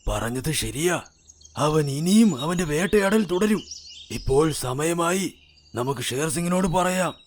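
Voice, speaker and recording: A man's voice: on the high side, animated, unhurried, a little hiss or hum. Man playing an earnest, complaining cartoon bear. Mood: concerned. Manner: earnest, urging. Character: concerned male bear, loyal complaining subject. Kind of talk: animated children's fairy tale dialogue. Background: loud music.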